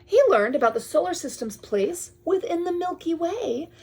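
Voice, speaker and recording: A woman reads a picture book aloud to a young child in drawn-out phrases, often dropping to a hushed tone and adding chatty asides. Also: extremely high pitch, lively intonation. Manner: addresses the child with questions and pointers. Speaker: storyteller reading to a young child.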